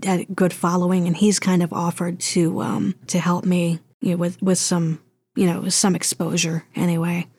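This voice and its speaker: Low-pitched woman in casual, hesitant interview talk. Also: a little mellow, no room sound. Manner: hesitant, searching for words, rambling. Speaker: interview guest, audio drama producer.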